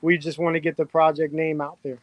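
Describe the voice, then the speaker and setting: Chill dude talking up his crypto project, kinda high voice, dry sound. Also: little pitch movement, a little fast, no echo, muffled recording. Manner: laid-back, keeps saying "man". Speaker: crypto project team member. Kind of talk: casual livestream chat.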